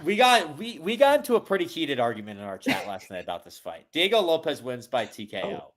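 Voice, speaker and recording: A man's voice: fairly high pitch, sing-song, fairly quick, a bit bright. A man hosting a casual podcast chat. He rambles, restarts his sentences often and uses many fillers. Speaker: podcast host.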